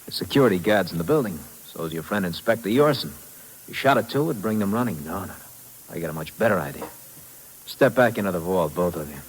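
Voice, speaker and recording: Sly radio villain, swooping delivery. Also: male voice, deep voice, expressive, fast talker. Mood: gloating menace. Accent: American. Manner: sly, taunting, gangster-like. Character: scheming, cold-blooded killer and turncoat spy. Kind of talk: 1950s radio drama acting. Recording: noisy old radio recording.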